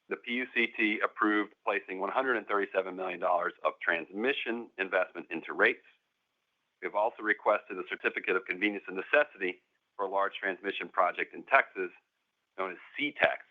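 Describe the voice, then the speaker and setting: A man reading prepared remarks in a formal, measured way, as an executive presenting on an earnings call. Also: deep voice. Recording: muffled narrow phone-line conference call audio.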